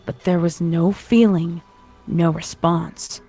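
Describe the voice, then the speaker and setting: A woman's voice: fairly low pitch, steady tone, slow pace. A woman reading scripted horror fan fiction as its narrator. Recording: music behind the voice.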